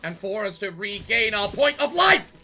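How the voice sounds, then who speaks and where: Man, let's play host, hoarse from yelling, high and animated, prone to sweary rants. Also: moderate pace, a little hiss or hum. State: strained voice from yelling. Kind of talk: gaming commentary.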